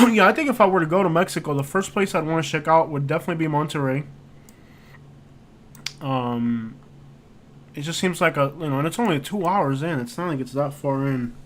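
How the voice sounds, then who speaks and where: A man with a fairly high voice hosts a loose, unscripted livestream. He rambles as he reacts to what he sees and leans hard on filler words. His delivery is casual and conversational throughout. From United States. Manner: rambling, heavy on fillers like "like" and "you know". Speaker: livestream host. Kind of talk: casual live-stream chat while browsing street views.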